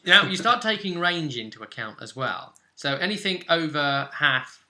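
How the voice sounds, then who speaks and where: A man working as game master, casually recapping tabletop game play in an animated, joking way and acting out moments as he goes. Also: on the high side, moderate pace.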